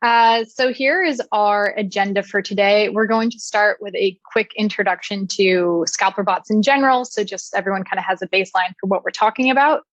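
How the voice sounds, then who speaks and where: A woman giving a technical conference presentation, explaining clearly with frequent "uh" and "um" fillers. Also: mid-range voice, fairly quick, hardly any pauses, no echo, muffled recording. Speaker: conference speaker.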